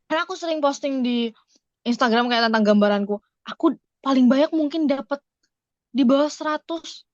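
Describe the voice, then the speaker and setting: A woman's voice: sits high, moderate pace, darkish tone, dry recording, muffled recording. A woman chatting casually and informally as a podcast guest. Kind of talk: casual chat.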